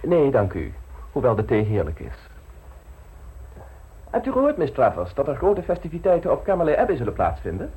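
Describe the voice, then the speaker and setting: An actor playing a suave, ambitious gentleman politician in an old radio play, polished, self-assured and teasingly frank. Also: medium pitch, huge pitch swings, a little fast, pauses often, breathy edge, phone-quality sound, full character voice. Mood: smooth, mysterious.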